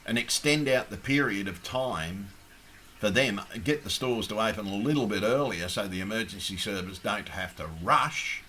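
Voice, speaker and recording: A croaky, hoarse Australian man making an impassioned, blunt appeal straight to camera, swearing heavily as he rants. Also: lowish voice, expressive, moderate pace, sharp tone, slight background noise. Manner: blunt, ranting, heavy swearing. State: hoarse, losing his voice. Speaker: activist.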